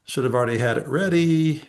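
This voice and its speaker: A man's voice: a little high, a little fast, darkish tone. A man hosting a casual networking video call, upbeat and persuasive with lots of fillers.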